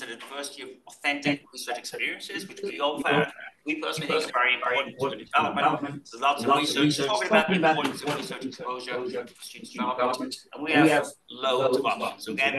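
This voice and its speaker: A man from Wales with a Welsh accent mixed with Kentucky, giving a brisk, upbeat welcome talk to students as department chair. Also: a little high. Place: lecture room. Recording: roomy, from a distance, faint noise.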